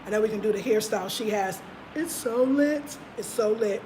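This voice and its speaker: A woman's voice: on the high side, normal speed, dark tone, a little hiss or hum, rough recording. An American woman hosting a live stream, chatting animatedly in slang, telling stories and reading out viewer comments. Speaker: host.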